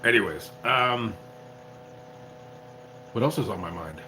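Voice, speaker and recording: A tipsy man live-streaming as a YouTuber, chatting casually in a rambling, halting way full of restarts. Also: on the low side, expressive, sharp tone, a little hiss or hum, rough recording. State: tipsy from drinking. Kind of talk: casual livestream chat.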